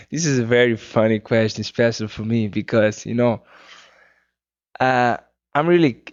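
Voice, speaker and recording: A Cape Verdean man whose first language is Creole, answering interview questions in English with unhurried speech, searching for words. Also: medium pitch, no room sound. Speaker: guest singer-songwriter. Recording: muffled 2009 radio interview.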